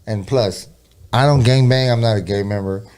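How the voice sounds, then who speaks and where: A man's voice: on the low side, moderate pace, darkish tone, clean tone. A man in his thirties from California, a rapper and producer, chatting casually on a podcast while tipsy, with loose, profane street slang. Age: 30s.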